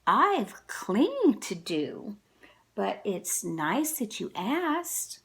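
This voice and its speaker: A woman's voice: medium pitch, very animated, very slow, noisy background, heavily acted. A woman voicing a timid, anxious male koala from a storybook, hesitant and apologetic.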